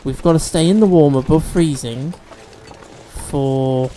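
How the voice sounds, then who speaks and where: Gaming host, male, mellow tone, sniffly, chatty walkthrough delivery. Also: on the high side, measured pace, a little hiss or hum, poor audio. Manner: chatty, explains game mechanics as he plays. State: sniffly from allergies. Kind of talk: let's-play commentary.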